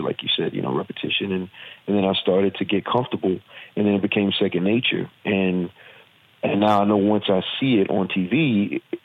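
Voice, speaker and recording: A man with a Southern accent answers interview questions in an earnest, reflective way, pausing often on fillers. His voice sits very low. The sound is thin and phone-like, as if over a remote call. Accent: Southern American. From Virginia. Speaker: actor and former football player. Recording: tinny phone-like line.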